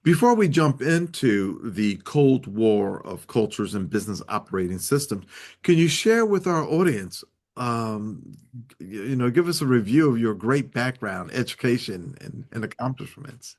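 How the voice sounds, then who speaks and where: A man hosting an interview program, speaking in a formal introductory style with measured, deliberate phrasing. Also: medium pitch, very animated, darkish tone. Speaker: interview show host.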